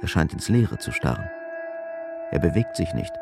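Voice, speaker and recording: A man's voice: very deep voice, big pitch swings, normal speed, vocal fry, over music. A man narrating a scripted radio drama as its storyteller.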